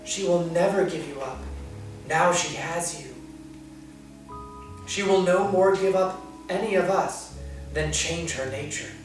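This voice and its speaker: A man voicing a ghost girl who warns in an urgent, hushed whisper, recorded in an echoey room from a distance. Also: fairly high pitch, measured pace, pauses often, pitched above the performer's own voice, lightly acted. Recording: echoey room, far from mic, soft guitar.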